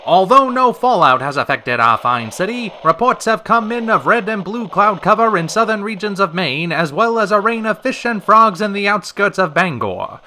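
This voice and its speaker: A man's voice: sits high, bright, forceful tone, exaggerated voice. A man playing a showy, genial old-time radio show host with a theatrical, emphatic announcer's delivery.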